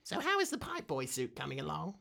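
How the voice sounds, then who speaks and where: A sarcastic talking computer in a radio drama, bright and piercing, with pitch that leaps all over. The sidekick is cheeky and theatrical, tossing off deadpan jibes. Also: male voice, fairly quick, creaky, full character voice. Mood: teasing.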